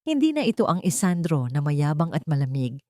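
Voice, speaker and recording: A woman's voice: sits low, very animated, a little fast, dark tone, no echo, studio quality. A woman reading a scripted romance story as a storyteller.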